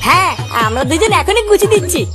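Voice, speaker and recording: A cheeky young cartoon lad, played by a woman with an extremely high voice. He talks fast in crude, excitable slang, like one of the drinking gang in a comedy cartoon, over background music. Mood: eager. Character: cheeky, foul-mouthed young male drinking buddy. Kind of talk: comic Bengali cartoon dialogue.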